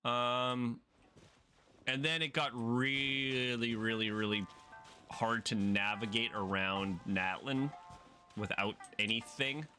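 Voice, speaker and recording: A man's voice: mid-range voice, steady tone, slow, pushed and bright. A man giving casual live gameplay commentary, rambling and thinking aloud. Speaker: game streamer. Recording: game music faintly behind.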